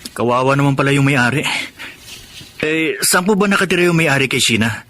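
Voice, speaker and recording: An actor playing a humble, kind-hearted young former convict in a Filipino radio drama, earnest and polite, with a Filipino accent. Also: male voice, mid-range voice, fairly quick, lightly acted. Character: remorseful, kind-hearted young ex-convict seeking a new life. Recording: music bed behind the voice.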